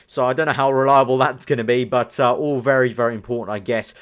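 A man's voice: medium pitch, fairly even intonation, fast, clear, resonant tone. A young man from the UK, chatting casually as a gaming channel host, rambling and thinking aloud with lots of fillers. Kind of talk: casual commentary over screen.

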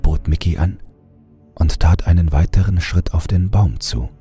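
A man narrating a scripted horror story in a measured way, with dramatic pauses. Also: low-pitched, dark tone, faint music behind.

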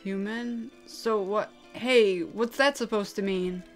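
A woman's voice: medium pitch, takes it slowly, soft background music, natural voice. Woman doing a brash, hot-tempered young man. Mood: menacing. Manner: gruff, blunt, impatient. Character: hot-tempered, brash young male killer named Zack. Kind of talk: anime-style dramatic character acting.

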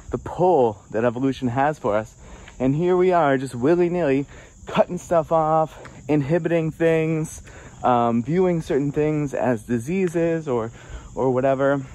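A man's voice: mid-range voice, darkish tone. A man in his thirties talks his thoughts through while walking in the woods, holding a phone out at arm's length. He rambles and restarts, searching for words with plenty of fillers. Crickets and insects chirp around him outdoors. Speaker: vlogger answering viewer questions. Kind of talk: casual walking vlog. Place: outdoors on a wooded forest trail.

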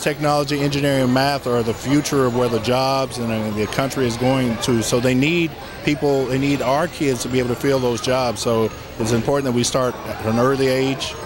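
Steady man over music. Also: mid-range voice.

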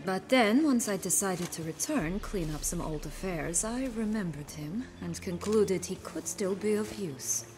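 A woman playing a haughty, cynical noblewoman with old wounds in a fantasy video game, measured and sardonic with a theatrical touch. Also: medium pitch, lively intonation, darkish tone, faint music behind, lightly acted. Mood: wistful confession.

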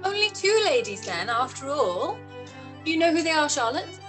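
A young woman playing a wry, teasing gentlewoman in a period radio play. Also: high-pitched, sing-song, normal speed, music in the background. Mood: curious. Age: young adult. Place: living room at home, indoors. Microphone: video call.

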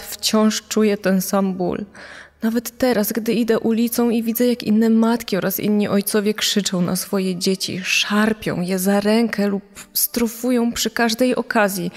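A woman's voice: medium pitch, darkish tone, close to an everyday voice. A bereaved mother, played by a woman, speaks in pain and bitterness in a true-crime audio story. Her voice rings in a long hall reverb, with music underneath. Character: grieving mother of a murdered daughter, pained and bitter. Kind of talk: dramatized reading of a quoted statement.

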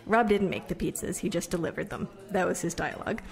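A woman's voice: lowish voice, quick pace, gravelly. A woman playing a chatty, proud young repair worker telling a rambling, conversational story in an audio drama monologue. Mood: chatty, wry.

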